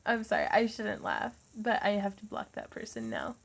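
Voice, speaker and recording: A woman's voice: mid-range voice, vocal fry. A woman hosting a casual craft livestream, chatty and laughing often.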